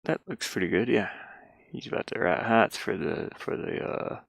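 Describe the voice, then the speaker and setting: A man's voice: lowish voice, normal speed, creaky, fairly bright tone, dry recording. A man giving casual think-aloud commentary as he works on a game, rambling and muttering to himself with repeated words. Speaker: game developer streaming his work.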